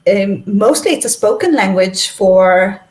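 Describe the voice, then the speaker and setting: A woman's voice: medium pitch, lively intonation, a little slow, darkish tone, reverberant. A young woman, a native speaker of Esperanto and Hungarian, chatting as an interview guest, laughing often and restarting her sentences. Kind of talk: casual conversation.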